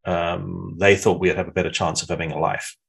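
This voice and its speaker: A man's voice: low-pitched, little pitch movement, a little fast, gravelly, fairly bright tone, breathy edge. A man, chatting frankly as a podcast guest and telling stories. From South Africa. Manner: frank, reflective storyteller. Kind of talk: casual interview chat.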